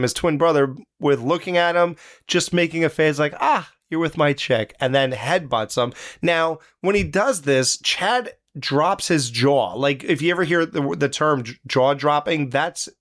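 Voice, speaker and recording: A man's voice: fairly high pitch, fairly bright tone. A man co-hosting a podcast, reviewing an action movie in loose, crude banter and retelling scenes with a joking edge. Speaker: podcast co-host. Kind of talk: casual movie review chat.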